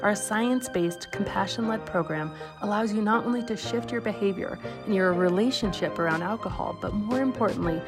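Woman reading a podcast intro over music. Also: medium pitch. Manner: smooth, scripted delivery. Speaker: podcast host. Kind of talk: scripted intro and ad read. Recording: music bed under voice.

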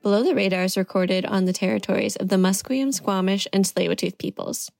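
A woman reading a scripted podcast introduction in a clear, read-aloud way. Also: mid-range voice, fairly quick, a little mellow, no room sound, soft background music.

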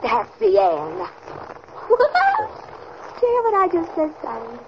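An actress playing a teasing, jealous, complaining bride in an old-time radio drama, with an arch, wry delivery. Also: female voice, very high-pitched, wildly swooping pitch, slow pace, vocal fry, exaggerated voice. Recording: dull, noisy old radio recording.

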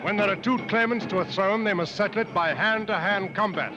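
Stoic hunter-guide played by a man, slightly high male voice, terse and commanding, vintage radio adventure, music behind. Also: fairly quick, lo-fi sound, natural voice. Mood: grim. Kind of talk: 1950s radio drama acting. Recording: old radio broadcast with music.

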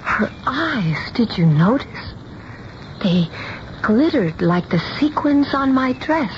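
Radio drama: dramatic young American bride-to-be, high-voiced, fretful and stubborn, muffled recording. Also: female voice, big pitch swings, takes it slowly. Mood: sad, haunted. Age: young adult. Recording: muffled, faintly hissy radio broadcast recording.

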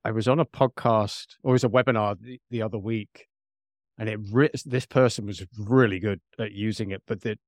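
A man's voice: lowish voice, fairly even intonation, a little fast, no echo, clean studio sound. A man hosting a podcast interview in a conversational, agreeable and affirming way. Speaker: podcast host.

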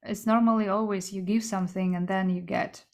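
A woman from Kazakhstan answering interview questions, pausing often to search for her words and laughing lightly as she talks. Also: mid-range voice, fairly even intonation, a little mellow. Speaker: guest, entrepreneur.

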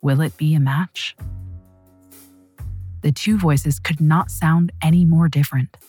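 A woman narrating a true crime story in a measured, dramatic scripted style. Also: low voice, steady tone, dark tone, husky, faint music behind.

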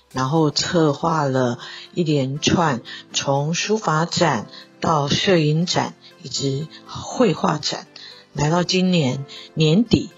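A woman's voice: low-pitched, highs cut off. A woman with a Taiwanese Mandarin accent giving a formal prepared announcement as an office director. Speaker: local culture and tourism office director.

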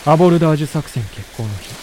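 An actor playing a composed samurai official who narrates a war chronicle in a scripted period audio drama. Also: male voice, fairly high pitch, expressive, mellow, a little hiss or hum, lightly acted. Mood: solemn, factual. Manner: measured, solemn storytelling.

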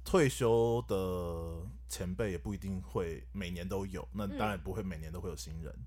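A man's voice: low-pitched, normal speed, a crowd in the background. A man voice actor, talking in a wry, matter-of-fact way on a podcast. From Taiwan. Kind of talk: casual podcast chat.

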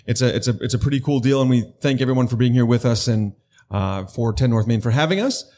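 A man co-hosting a podcast, moving from a measured scripted intro to easygoing banter. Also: medium pitch, dark tone. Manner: dramatic, measured delivery in the intro. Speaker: podcast co-host. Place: restaurant dinner party.

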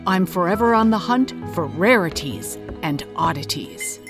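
An earnest arts investigator narrating an audio drama. She speaks in a measured, articulate, dramatic way with lively swings of pitch, and music plays prominently behind her. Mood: earnest, reflective. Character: B.K. Will, earnest intense investigative cultural obscurist narrating her search. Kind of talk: scripted podcast narration.

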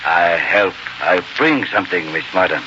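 A man playing a loyal, proud frontiersman friend who speaks halting broken English in a 1940s radio drama. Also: low voice, lively intonation, very noisy. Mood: friendly, eager. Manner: halting, clipped broken phrases. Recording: dull old radio broadcast, loud music.

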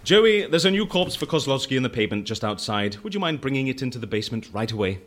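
A man's voice: mid-range voice, big pitch swings, fast talker, a bit bright, slight background noise. An actor playing a suave, eccentric male boss in an audio drama, wry, mock-genteel and theatrical. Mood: brisk, amused. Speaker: lead character in an audio drama.

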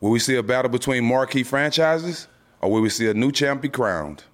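A man's voice: medium pitch, expressive, a little fast. A man reading a scripted sports promo in a confident, hyped way. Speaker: promo announcer.

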